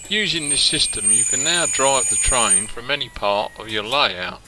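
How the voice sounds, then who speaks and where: A man narrating a hobby product demonstration step by step, in a plain-spoken, deliberate way with hesitant pauses. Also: mid-range voice, big pitch swings, creaky, sharp tone, slight background noise, rough recording. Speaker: hobbyist presenter demonstrating a model train radio control.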